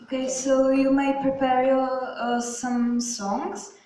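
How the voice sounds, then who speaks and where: A woman's voice: sits high, level tone, takes it slowly, warm tone. A woman narrating a puppet show and presenting it to a live audience. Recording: echoey hall, distant mic, faint noise.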